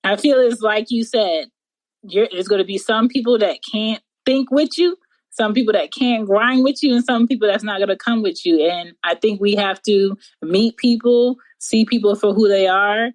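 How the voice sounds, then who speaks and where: A woman's voice: medium pitch, normal speed, dry recording, rough recording. Earnest podcast host lady, motivational, dry close sound. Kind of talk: casual podcast chat.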